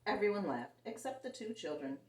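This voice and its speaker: Animated woman reading a children's story. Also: mid-range voice, brisk, far from the mic. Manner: animated and expressive, voicing characters. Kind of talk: reading a picture book aloud to children. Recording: roomy sound, set back from the mic.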